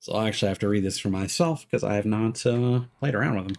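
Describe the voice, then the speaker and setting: A man's voice: on the low side, quick pace, vocal fry, a little mellow. A man streaming a video game, giving casual live commentary and thinking aloud as he plays.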